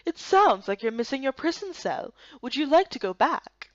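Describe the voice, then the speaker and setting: A woman's voice: high-pitched, sing-song, normal speed, dry recording, dull audio. A woman voicing a smug, sarcastic male guard leader in a visual novel game, sardonic and condescending.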